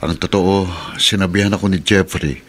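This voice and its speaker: Casting: middle-aged dad, pedicab driver, proud and earnest, fairly deep voice, natural radio drama dialogue. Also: male voice, steady tone, normal speed. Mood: proud, reflective. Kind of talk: Filipino radio drama acting.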